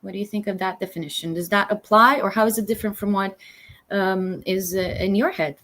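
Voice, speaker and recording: A woman's voice: mid-range voice, steady tone, a little fast, a bit bright. Chatty woman host. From Romania. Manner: enthusiastic, chatty, many fillers. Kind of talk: casual conversational interview.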